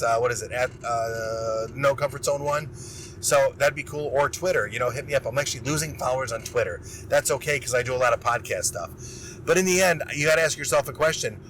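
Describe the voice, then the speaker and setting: A man's voice: mid-range voice, a little hiss or hum, rough recording. A man hosting his own motivational podcast, talking casually and energetically with rambling asides while he is losing his voice. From Illinois. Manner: energetic, rambling, self-interrupting. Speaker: podcast host.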